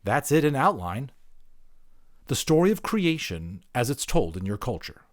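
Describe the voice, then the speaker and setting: A man voicing a big, patient gorilla teacher in an audiobook, speaking in a measured, deliberate, probing way. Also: medium pitch, sing-song, pitched above the performer's own voice, lightly acted. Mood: calm, reassuring. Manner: measured, deliberate, pointedly Socratic. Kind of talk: dramatic audiobook character acting.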